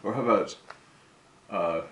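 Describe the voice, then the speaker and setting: An older man talking slowly and self-assuredly to camera about recording cover songs, rambling and musing as he goes. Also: lowish voice, dull audio, a little hiss or hum. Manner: slow, musing, self-assured, breaks into song. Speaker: home singer recording covers. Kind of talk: rambling solo monologue to camera.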